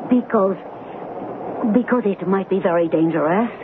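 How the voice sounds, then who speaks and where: A woman's voice: mid-range voice, moderate pace, lightly acted. An actress playing an anxious woman in her late twenties, formal and hesitant, in a radio drama. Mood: uneasy, guarded. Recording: tinny, noisy old radio broadcast.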